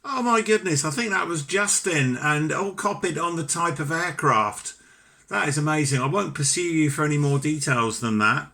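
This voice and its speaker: A man's voice: on the high side, animated, moderate pace, sharp tone, a little hiss or hum. A British man chatting as an amateur radio operator, enthusiastic, courteous and talkative in a conversational radio exchange. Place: home ham radio station.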